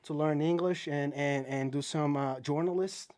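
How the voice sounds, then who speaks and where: Man, Brazilian accent, Portuguese native, podcast guest, animated storytelling, says bro, studio. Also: on the high side, normal speed. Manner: animated, says "bro" often, with a second-language phrasing. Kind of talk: casual storytelling.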